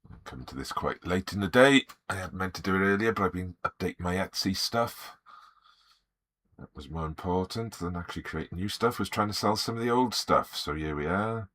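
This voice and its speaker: A middle-aged man with a Welsh accent talks in a deep, low register, his voice a little croaky from a sore throat. He chats in an easygoing way and greets viewers one by one as he works. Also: animated, fairly quick, fairly bright tone. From Wales. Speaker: artist streaming live. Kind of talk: relaxed live-stream chat while drawing.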